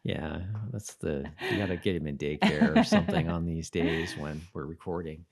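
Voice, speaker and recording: A man's voice: a bass voice, animated, normal speed, vocal fry. A man co-hosting a podcast in a casual, conversational style. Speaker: podcast co-host. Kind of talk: casual chat.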